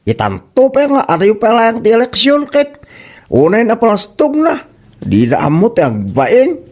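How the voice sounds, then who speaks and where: A man acting a very high-pitched teasing buddy. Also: huge pitch swings, normal speed, a little mellow, full character voice. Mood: mocking. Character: teasing, sceptical male village buddy. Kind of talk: Ilocano comedy drama acting.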